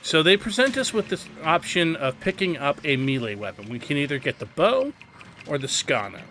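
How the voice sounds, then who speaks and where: A man's voice: on the high side, very animated, normal speed, sharp tone, a little hiss or hum. A man giving a casual, instructive video game tutorial in an opinionated, emphatic way. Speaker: video game guide host.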